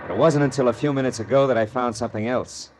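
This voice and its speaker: An actor playing a wry, world-weary American private detective in a radio drama, sardonic and deadpan. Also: male voice, medium pitch, fast talker, a little hiss or hum, lightly acted, low-quality recording. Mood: cool. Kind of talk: old-time radio detective acting and narration.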